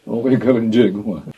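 An older man with a Filipino accent playing an aggrieved, stubborn father in a radio drama. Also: mid-range voice. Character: aggrieved, stubborn, vengeful middle-aged father and neighbor. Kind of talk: Tagalog radio drama acting. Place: radio drama recording studio, distant camera. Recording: dull audio with clear background noise.

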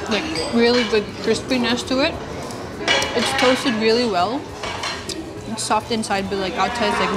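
A young woman hosting a casual food vlog, chatting upbeat while tasting dishes in a cafe on a lapel mic. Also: mid-range voice. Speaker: food vlogger host. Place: small cafe indoors and street outdoors.

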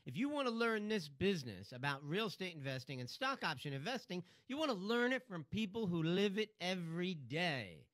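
A man's voice: high-pitched, very animated, a little fast, hardly any pauses, a bit bright. A man with a Philadelphia accent, co-hosting a casual radio show about real estate and telling wry stories. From Philadelphia area, US. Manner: storytelling, wry, joking.

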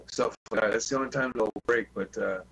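A man's voice: on the low side, a little fast, vocal fry, fairly bright tone. Man on a noisy, humming voice chat. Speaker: CB radio hobbyist. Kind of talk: casual chat. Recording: noisy online voice chat with hum.

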